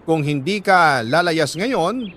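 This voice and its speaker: A Filipino man voicing a concerned neighbor woman who gravely warns a girl in a radio story. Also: fairly high pitch, expressive, takes it slowly, a bit bright.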